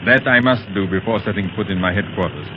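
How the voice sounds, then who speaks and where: A man playing a slow, menacing villain. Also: lowish voice, natural voice. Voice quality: slow, deliberate menacing delivery. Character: scheming villain gang leader, cold and menacing. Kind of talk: 1930s radio acting. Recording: muffled vintage radio recording with hiss.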